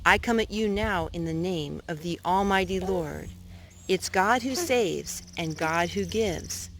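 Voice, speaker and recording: A brave young shepherd boy voiced by a woman reading a storybook declares himself with firm resolve. The voice is measured and declaiming, over faint background noise in a home recording. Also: fairly low pitch. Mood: solemn, resolute.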